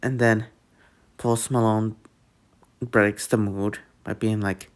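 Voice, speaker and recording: A man's voice: lowish voice, flat delivery, slow. A young man giving casual commentary on a movie trailer, rambling with many "like" fillers and restarts. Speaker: trailer reaction vlogger.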